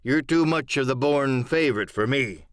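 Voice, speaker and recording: A man voicing a gruff, blunt sea captain in a dramatised adventure storybook reading. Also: mid-range voice, normal speed, no echo, studio quality, close to an everyday voice. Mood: gruffly frank.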